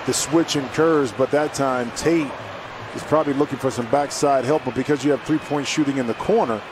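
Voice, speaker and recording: A man giving color analysis on a basketball broadcast, measured and explanatory. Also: medium pitch, fairly even intonation, background noise. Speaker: color commentator.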